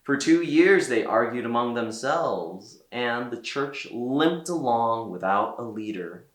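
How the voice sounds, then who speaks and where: A man reading a children's story aloud as a storyteller, with theatrical delivery and deliberate pauses. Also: mid-range voice, expressive, reverberant. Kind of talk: expressive read-aloud narration for children.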